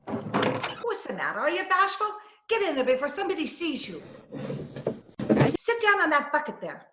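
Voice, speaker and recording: A woman's voice: high voice, moderate pace, heavily acted. A woman acting a plucky, headstrong, scatterbrained lady reporter in a 1940s-style radio comedy, bossy and theatrical. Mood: bossy, hushed urgency. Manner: bossy, excitable, theatrical delivery. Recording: roomy, muffled, faintly noisy video-call recording.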